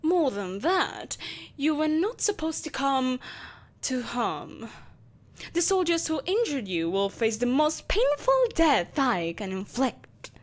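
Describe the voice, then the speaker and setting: Woman playing a haughty evil empress, swooping high voice. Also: big pitch swings, full character voice. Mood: stern, irritated. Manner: haughty, theatrical, sighing exasperation, deliberate menace. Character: vengeful, possessive evil empress, soulless, imperious, coaxing a captive. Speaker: voice actor. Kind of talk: scripted audio roleplay acting.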